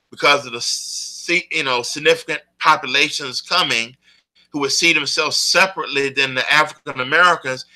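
A man's voice: on the high side, sharp tone, poor audio. A man giving spoken commentary, explaining in long, built-up points.

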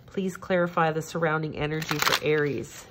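Low-pitched stuffy-nosed woman doing a tarot reading at home, nasal, gentle and repetitive. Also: steady tone, moderate pace, very noisy. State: congested, stuffed-up nose. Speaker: tarot reader. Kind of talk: casual tarot reading monologue. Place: home, at a table.

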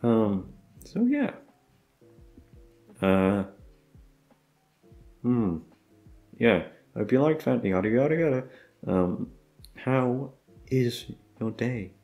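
A man's voice: mid-range voice, sing-song, extremely slow, pauses often, mellow, faint music behind. A young man chatting casually off the cuff to listeners, rambling and hesitant, with some swearing. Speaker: audio roleplay creator. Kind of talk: casual unscripted chat.

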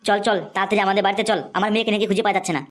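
A woman's voice: mid-range voice, flat delivery, racing, slight background noise, lightly acted. A woman voicing a bullying, foul-mouthed middle-aged gangster boss and father in a comic cartoon. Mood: urgent. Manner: bullying, scolding, crude.

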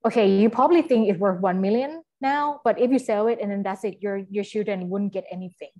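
A woman's voice: mid-range voice, mellow. A woman in her thirties with a Thai accent, explaining conversationally on a video call through an earphone mic, often searching for words. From Thailand. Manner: halting, searching for words, frequent "like" and "you know". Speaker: guest speaker. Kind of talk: conversational explanation in an online session. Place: small indoor room, video call. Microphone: wired earphone mic on a video call.

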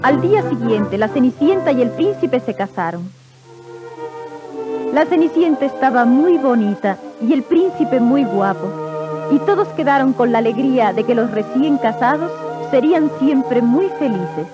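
A woman's voice: high voice, lively intonation, moderate pace, highs cut off. A woman reading a scripted children's storybook as narrator, on a vintage record with music.

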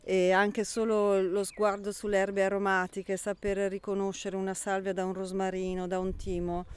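A woman's voice: medium pitch, completely flat delivery, moderate pace, smooth. A middle-aged woman, explaining things informally in an outdoor interview. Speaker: volunteer of a garden association. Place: outdoors in an allotment garden. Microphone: handheld mic held out by interviewer.

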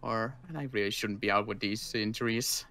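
A man's voice: fairly low pitch, fairly even intonation, fairly quick, pushed and bright. Man playing a weary, grudging apocalypse survivor. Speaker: roleplay player. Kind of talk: improvised game roleplay.

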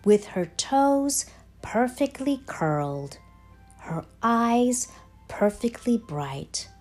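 A woman reading a children's story aloud in a deliberate, expressive way with dramatic pauses. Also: medium pitch. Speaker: storybook reader, channel host. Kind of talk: children's read-aloud, scripted narration. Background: background music.

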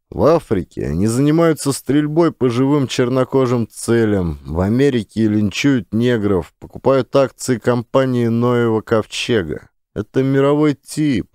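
Grave, mellow male audiobook narrator. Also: mid-range voice, dry recording, clean studio sound. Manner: measured, grave, slow emphatic delivery. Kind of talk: scripted first-person memoir narration.

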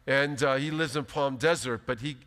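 A pastor in his fifties giving an animated, emphatic sermon from a church stage. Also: medium pitch, moderate pace. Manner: animated, emphatic preaching with big gestures. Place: large church stage, indoors. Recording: long hall reverb.

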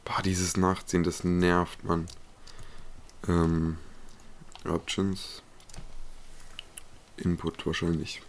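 A man's voice: low voice, little pitch movement, drawn-out words, long pauses, a little hiss or hum. A young man commentating a survival game, swearing often and yelping when startled, slightly ill and coughing. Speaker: let's play gamer. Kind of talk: casual gameplay commentary.

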